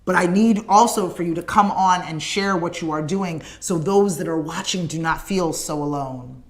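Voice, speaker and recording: A woman's voice: lowish voice, moderate pace. A woman hosting a morning livestream show, making an earnest, emphatic appeal to viewers in a repetitive rallying cadence. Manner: addresses viewers in comments by name. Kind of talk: direct-to-camera livestream appeal.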